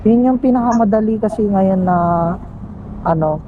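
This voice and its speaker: A woman with a Filipino accent talks in a joking, teasing way as a rider vlogging on the job. She chats casually into a helmet intercom. Clear traffic and engine noise runs behind her. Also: medium pitch, normal speed, phone-quality sound. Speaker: motorcycle taxi rider and vlogger. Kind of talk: casual chat with a passenger. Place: on a motorcycle on the street. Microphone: helmet intercom headset.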